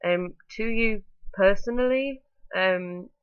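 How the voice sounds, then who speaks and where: A woman's voice: mid-range voice, slow pace, smooth, no room sound, dull audio. A woman vlogging to camera with a blocked-up nose from a bad cold, rambling along with lots of ums and likes. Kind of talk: casual talking-to-camera vlog.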